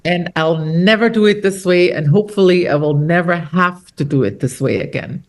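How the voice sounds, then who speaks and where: A middle-aged woman from Austria, an author talking about her novel in an interview, animated and quoting others as she tells stories. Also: lowish voice, normal speed. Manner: animated storyteller, quotes others with lively shifts. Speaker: author guest. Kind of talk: conversational interview.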